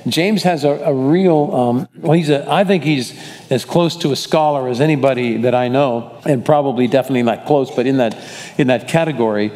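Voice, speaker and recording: A male pastor walks his congregation through scripture in a loose, rambling way, stopping often to correct himself. His voice sits at an everyday pitch for a man. It rings out with a long reverb, as it would in a church sanctuary. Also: brisk. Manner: warm, rambling, self-correcting delivery. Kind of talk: casual Bible study teaching.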